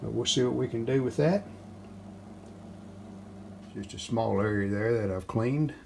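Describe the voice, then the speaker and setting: A man casually narrating a hands-on how-to as he works, plain-spoken, unhurried and modest. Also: mid-range voice, creaky. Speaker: restoration hobbyist and video host. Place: home basement workshop. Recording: steady background noise, handling rustle.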